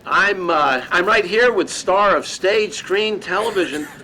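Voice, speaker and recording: A man's voice: high-pitched, measured pace, pushed and bright, low-quality recording. A man playing a jokey showbiz comedian in a mock television interview, with a stammering delivery. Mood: showbiz banter.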